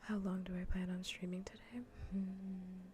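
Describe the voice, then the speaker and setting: A woman livestreamer chatting in a soft, breathy ASMR whisper, casual, teasing and blunt, close to the microphone. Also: lowish voice, flat delivery, measured pace, a little hiss or hum. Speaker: livestreamer playing a game. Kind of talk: whispered ASMR chat while gaming.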